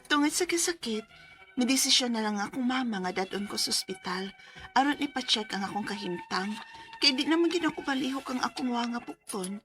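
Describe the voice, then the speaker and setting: An anguished, sickly young daughter in a Bisaya radio drama. Her voice is very high and piercingly bright, plaintive and pleading, with faint music beneath. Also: animated, fairly quick. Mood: sorrowful narration. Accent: Filipino, Bisaya. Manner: plaintive, emotional. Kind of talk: Filipino radio drama acting.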